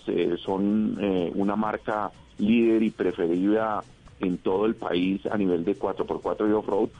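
A man hosting a radio talk show, speaking haltingly, repeating words, thanking and praising his guest. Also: on the low side, dark tone, dull audio.

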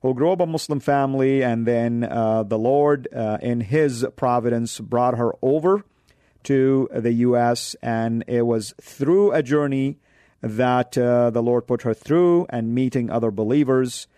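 Mellow-voiced man hosting a radio show. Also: medium pitch, no echo, studio quality. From Saudi Arabia. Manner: hesitant, many filler pauses.

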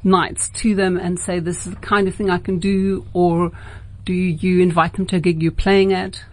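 Woman, slightly low voice, radio host, conversational interviewer. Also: little pitch movement, moderate pace.

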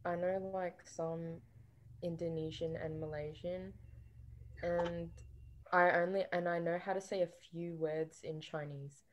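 A young woman co-hosting a student chat show, eager and quick to chime in. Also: fairly low pitch, steady tone, slight background noise. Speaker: student co-host. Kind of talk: casual chat.

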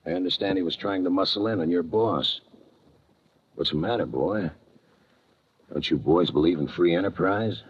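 An actor playing a tough, sardonic homicide lieutenant in a 1950s radio crime drama, clipped and deadpan. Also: male voice, sits low, moderate pace, pauses often, breathy edge. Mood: dry, needling. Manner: clipped, hard-boiled, deadpan sarcasm. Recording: dull, muffled 1950 radio recording.

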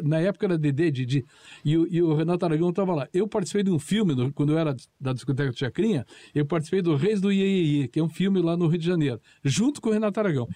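Man, talk show guest, telling anecdotes, fairly high voice, dry sound. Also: warm tone, no room sound. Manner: chatty, restarts words while recalling. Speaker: guest, former colleague and juror.

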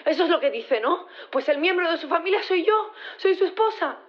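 Audio drama wife: high-pitched, pleading, insistent, devoted, slightly dull sound. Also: female voice, lively intonation, brisk. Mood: desperate, insistent. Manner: emotional, insistent, repeating words.

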